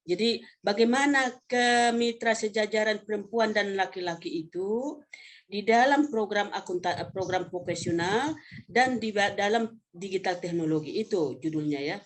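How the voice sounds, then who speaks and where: A woman's voice: medium pitch, moderate pace, a little hiss or hum. Middle-aged Indonesian woman presenting, conversational. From North Sumatra, Indonesia. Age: 50s. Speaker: vocational education professor presenting a webinar. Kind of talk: informal slide presentation.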